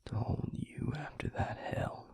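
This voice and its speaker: A man playing a tender, weary vampire lover in an intimate audio roleplay, speaking haltingly in a hushed, breathy, gravelly voice. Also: close to an everyday voice. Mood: raw, vulnerable. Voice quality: breathy, husky, gravelly half-whisper. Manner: hushed, halting, with long pauses.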